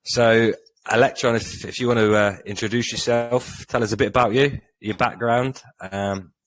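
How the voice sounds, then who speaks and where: A man hosting a live crypto community AMA, running through the programme in a brisk, rehearsed way with a few fillers. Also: medium pitch, steady tone, dry recording, low-quality recording. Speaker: AMA host.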